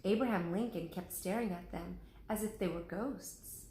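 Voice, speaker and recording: A woman, a teacher, reading a children's chapter book aloud with lively, expressive voices. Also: medium pitch, moderate pace, reverberant.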